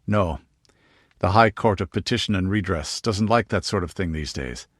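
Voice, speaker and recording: A man voicing a calm young nobleman who answers plainly and dryly. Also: sits low, normal speed, dry recording, studio quality.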